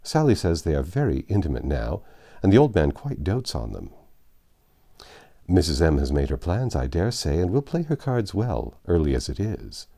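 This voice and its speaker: A man's voice: sits low, big pitch swings, normal speed, gravelly, mellow, heavily acted. A man voicing gossiping society women from an old storybook, with a knowing, condescending manner.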